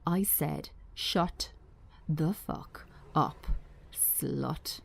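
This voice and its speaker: A woman's voice: low voice, extremely slow, gravelly, slightly rough, heavily acted. A woman voicing a menacing, jealous ex-boyfriend with a taunting, contemptuous manner, in a read screenplay. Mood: sneering contempt. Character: menacing, sneering, jealous abusive ex-boyfriend, adult man.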